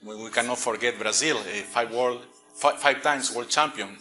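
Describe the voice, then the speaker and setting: A man's voice: mid-range voice, sharp tone, soft background music. A middle-aged man from Peru giving a conference presentation on engineering, speaking with frequent fillers and restarts. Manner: halting, many fillers and restarts; light joking aside. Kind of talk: conference talk.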